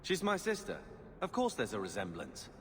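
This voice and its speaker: A man's voice: on the low side, huge pitch swings, moderate pace, a bit bright, long reverb tail, slight background noise. An actor playing a resentful, sarcastic young man, a brooding younger brother in a video game. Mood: irritated, sarcastic. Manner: sullen, sarcastic.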